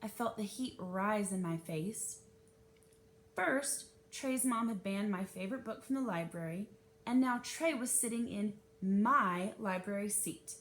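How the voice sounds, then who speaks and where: A woman reading a children's novel aloud as an expressive narrator. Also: a little slow. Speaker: read-aloud reader. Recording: noisy with low rumble.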